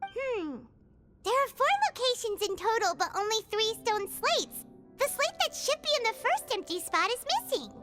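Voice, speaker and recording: A woman's voice: extremely high pitch, lively intonation, normal speed, over music. A woman voicing a tiny, chatty fairy-like companion in video game dialogue, who talks about herself in the third person. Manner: refers to herself in the third person, chirpy and curious.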